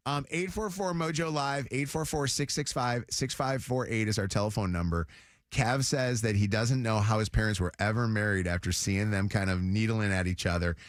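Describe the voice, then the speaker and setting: A man's voice: lowish voice, brisk, no room sound, studio quality. A man hosting a morning radio show, telling stories in a rambling way with lots of restarts. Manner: rambling storyteller, restarts a lot. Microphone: studio mic.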